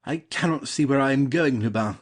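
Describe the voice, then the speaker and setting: An actor playing a polite, cautious gentleman lover in a classic comedy audio play, speaking formally and with measure. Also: medium pitch, brisk, breathy edge, close to an everyday voice. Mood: measured, patient.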